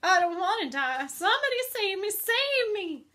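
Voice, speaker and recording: Storybook young male piglet, played by a woman: high, shrill, wailing, childish and panicky. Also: sing-song, drawn-out words, creaky, bright, forceful tone, heavily acted. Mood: panicked. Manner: screaming, pleading, childish. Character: frightened, hysterical young male pig.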